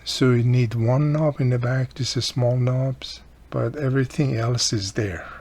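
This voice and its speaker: A man with a husky, mid-pitched voice talks through a hands-on repair. His explanation is casual and unscripted, and his non-native-sounding grammar often drops small words. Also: steady tone, unhurried, a little mellow. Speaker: radio repair hobbyist and host.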